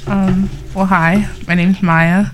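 A woman's voice: fairly low pitch, level tone, takes it slowly, slight background noise, poor audio. A woman in her twenties from Oregon, a panel guest who chats casually and laughs easily. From Portland, Oregon, USA. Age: 20s.

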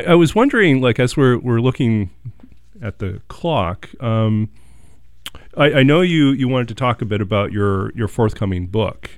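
A man hosting an interview, talking in a relaxed, reflective way with some stammering restarts. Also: on the low side, creaky, dry recording, studio quality. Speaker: host. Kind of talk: casual interview chat.

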